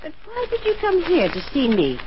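A woman's voice: very high voice, huge pitch swings, moderate pace, full character voice. A woman playing a loyal, stubborn older former nursemaid in 1940s radio drama style. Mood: fond, stubborn. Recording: noisy, muffled 1940s radio recording.

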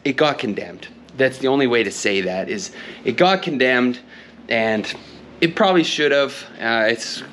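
A man's voice: mid-range voice, a bit bright, a little hiss or hum. Folksy rambling man in a workshop. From Canada. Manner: rambling, folksy, many fillers and restarts. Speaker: channel host and tool business owner. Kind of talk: casual vlog talk to camera. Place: large indoor workshop with wood loft. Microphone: camera held at arm's length.

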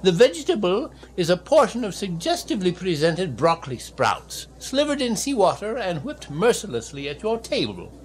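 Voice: medium pitch, big pitch swings, moderate pace, few pauses, close to an everyday voice, rough recording. An actor playing a campy, pretentious waiter in a radio comedy sketch, with a theatrical manner. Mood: pompous, playful. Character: campy, pretentious waiter with fancy French name.

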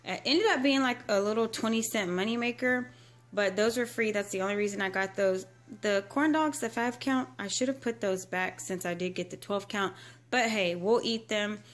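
A woman's voice: mid-range voice, moderate pace, faint music behind. An American woman chatting casually to her viewers as a vlogger, recorded indoors at home on a handheld camera. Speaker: couponing vlogger.

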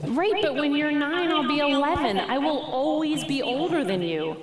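A woman's voice: high-pitched, expressive, normal speed, recorded from a distance, crowd noise, voice pushed up. A woman voicing a smug, insistent young girl needling her little sister in a memoir reading. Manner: insistent, casually cruel. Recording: echoey theatre sound with audience noise.

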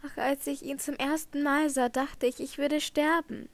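A woman's voice: high voice, little pitch movement, moderate pace, no echo, close to an everyday voice. Woman voicing a haunted young singer. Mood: anguished. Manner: anguished, trembling, emotional.

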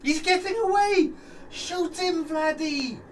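A man playing an excitable, suave aristocratic prince and nervous conspirator in a radio play. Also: very high-pitched, slow pace. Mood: panicked. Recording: distant mic, faint noise.

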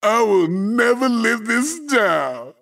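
An actor voicing a brash, boastful male chicken kart racer in a video game, with a cartoonish, squawky, shouty delivery. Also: medium pitch, very animated, takes it slowly, vocal fry, far from the mic, heavy background noise, full character voice. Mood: cocky. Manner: cocky, boastful, wisecracking. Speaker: game character.

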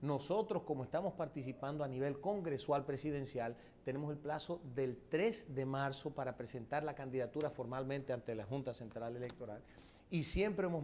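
A middle-aged man as a guest on a TV studio interview, answering in a measured, formal, explanatory way on a lapel mic. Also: medium pitch, a little mellow, a little hiss or hum. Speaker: political guest.

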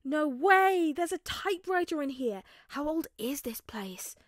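Woman as a high, easily spooked teenage boy. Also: animated, a little slow, no room sound, clean studio sound. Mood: amazed. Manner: exclaiming, stammering.

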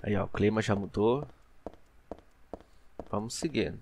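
A man's voice: lowish voice, measured pace, gravelly, over music. A young Brazilian man giving casual, slangy gameplay commentary as a channel host. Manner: colloquial, slangy asides to viewers.

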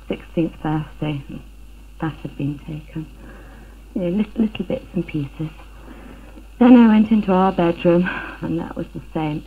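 Low-pitched woman on noisy old film. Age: middle-aged. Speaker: interviewee, burglary victim. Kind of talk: personal account in a documentary interview. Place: living room at home, indoors. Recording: tinny phone-like 1970s film sound, noisy.

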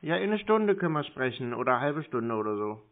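A man's voice: mid-range voice, big pitch swings, fast. A man chatting casually on the phone in a hesitant, rambling, naively helpful manner. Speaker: prank caller answering scam calls. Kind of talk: casual phone conversation, playing a naive customer. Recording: tinny phone-like audio with faint noise.

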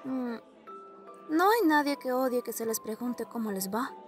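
A sensible young female student played by a woman in a webtoon dub, sure of herself. Her voice is fairly high, with faint music behind. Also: normal speed, close to an everyday voice. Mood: cool, confident.

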